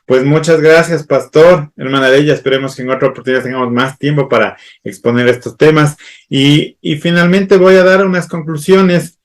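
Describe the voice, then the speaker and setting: A man moderating a church panel discussion, speaking courteously and halting often with fillers and restarts. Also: a little high. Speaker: host.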